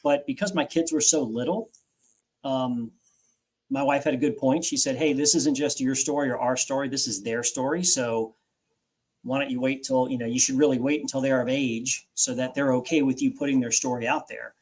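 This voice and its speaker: A man's voice: mid-range voice, steady tone, moderate pace, poor audio. A man in his forties, a guest author recounting his own story in an interview, earnest and reflective, often restarting phrases.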